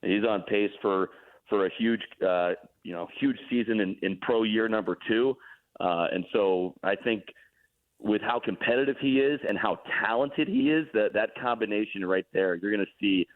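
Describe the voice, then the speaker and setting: A man with a fairly low voice talks hockey in an easygoing, explanatory way. He comes through a thin, phone-like line. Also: normal speed. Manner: relaxed, explanatory, self-deprecating. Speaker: hockey play-by-play broadcaster, guest. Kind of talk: casual radio interview analysis.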